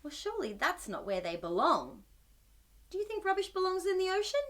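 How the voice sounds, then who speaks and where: A woman with a high, very lively voice hosts a storytime for children. She speaks with exaggerated wonder, putting questions to the listeners and swooping up and down as she goes. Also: a little fast. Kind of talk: children's read-aloud and singalong.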